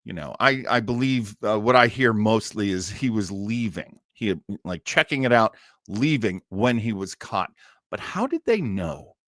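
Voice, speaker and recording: American man, casual stream host, very lively intonation, theatrical, halting, self-deprecating, dry sound. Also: fairly low pitch, no echo. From United States. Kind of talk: casual live chat introducing an old radio show.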